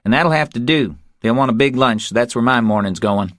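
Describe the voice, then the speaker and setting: A man's voice: mid-range voice, normal speed, fairly bright tone, no room sound, studio quality, pitched above the performer's own voice, close to an everyday voice. A man voicing a brusque, irritated housemaid in an audiobook, curt and grumbling. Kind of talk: audiobook character dialogue.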